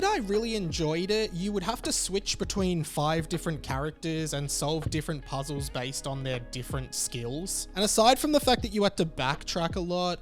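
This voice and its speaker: A man in his thirties with a fairly high voice hosts a video essay. He talks conversationally, leaning on key words with enthusiasm. Soft music plays behind him. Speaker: video creator and host. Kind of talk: semi-scripted video essay narration to camera.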